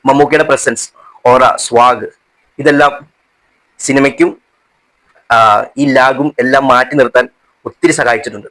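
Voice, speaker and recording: Higher-pitched man giving a casual film review. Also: a bit bright, rough recording. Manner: halting, mixes English words into speech. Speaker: movie reviewer.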